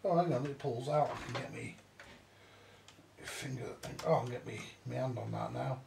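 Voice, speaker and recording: British bloke with a gravelly mellow voice muttering through a repair, bit echoey and off mic. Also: male voice, mid-range voice, moderate pace, a little hiss or hum. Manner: muttering to himself, swearing mildly. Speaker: vintage audio repairer. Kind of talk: casual hands-on repair commentary. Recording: roomy echo, distant mic.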